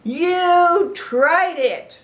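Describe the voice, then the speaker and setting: A woman acts out an eager, pushy little storybook pig. The voice jumps high and stretches each word out very slowly, with big exclamations made for small children. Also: full character voice. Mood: delighted. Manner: exclaiming, drawn-out emphatic words. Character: excitable, pushy young pig friend. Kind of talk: animated children's picture-book read-aloud.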